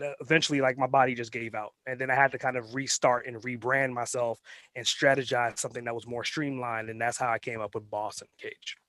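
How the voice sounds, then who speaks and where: A man's voice: medium pitch, dry recording. Man telling his story, dry sound. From born in Trinidad and Tobago, raised in New York. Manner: rambling, anecdotal, many fillers. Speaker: guest entrepreneur. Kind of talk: casual interview storytelling.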